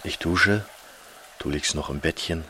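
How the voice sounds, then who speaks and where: A brooding male lover speaks in a low voice, in short poetic fragments. It is literary radio drama about memory and intimacy, with faint noise behind the words. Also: unhurried, sharp tone, heavily acted. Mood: tender, hushed. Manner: short clipped poetic phrases. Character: brooding male lover recalling childhood and family. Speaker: radio play actor.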